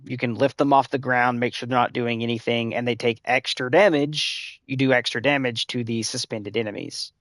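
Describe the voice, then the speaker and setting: A man giving casual gameplay tips on a live stream, his voice a little hoarse and scratchy, talking in loose rambling runs full of "like" and "uh". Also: mid-range voice, a bit bright, no echo, studio quality. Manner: rambling, filler-heavy advice-giving. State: slightly hoarse, losing voice. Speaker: game streamer.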